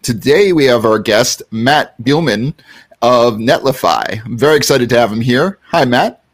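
A man's voice: mid-range voice, lively intonation, a little slow. A man hosting a podcast interview, welcoming his guest and asking short friendly questions. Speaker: podcast host.

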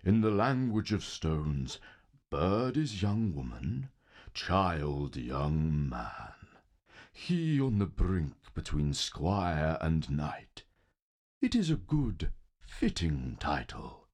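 A grim, cryptic guardian of stone, played by a man in an audio play. The voice is low and extremely slow, yet rises and falls dramatically. Every phrase is formal and portentous. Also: sing-song, darkish tone, exaggerated voice. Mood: solemn. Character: grim, sage male stone being, solemn and cryptic. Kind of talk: theatrical audio play acting.

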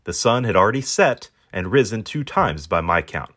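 Man as a deep-voiced, pampered storybook dog. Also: big pitch swings, moderate pace, fairly bright tone. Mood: determined. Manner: wordy, self-important, wry. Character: pampered, proud male pet dog, stranded and hungry. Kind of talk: first-person storybook narration in character.

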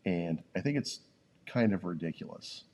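A man co-hosting a podcast in casual conversation, with a very deep, gravelly voice, speaking haltingly and thoughtfully, lying on a yoga studio floor close to the mic. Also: lively intonation, a little mellow. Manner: halting, restarts often, thoughtful. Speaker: podcast co-host. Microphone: podcast mic, leaning in close.

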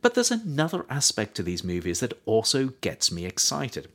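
A man reading his own nonfiction book aloud as scripted audiobook narration, with measured, emphatic phrasing. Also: mid-range voice, wildly swooping pitch, fairly bright tone.

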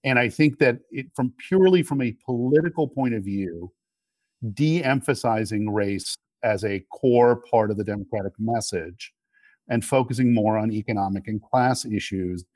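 Middle-aged male guest commentator, slightly low voice, dry recording, measured and conversational as he works through arguments. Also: darkish tone, no room sound. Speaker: interview guest, political commentator. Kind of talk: casual political analysis.

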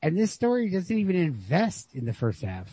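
A man's voice: sits high, huge pitch swings, normal speed, mellow, slightly rough, no room sound. A man in a casual literary chat as a podcast host, musing and thinking aloud with frequent swearing. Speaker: podcast host discussing a short story. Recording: lo-fi podcast recording.

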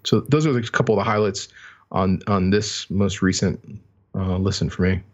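A man's voice: sits low, steady tone, moderate pace, dull audio. A man co-hosting a podcast, giving a reflective review of music in casual chat as he feels his way through his thoughts. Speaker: podcast co-host.

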